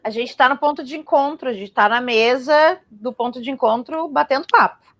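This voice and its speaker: A woman's voice: sits high, moderate pace, fairly bright tone, dry recording. A Brazilian woman hosting and interviewing in a conversational style on a live arts programme.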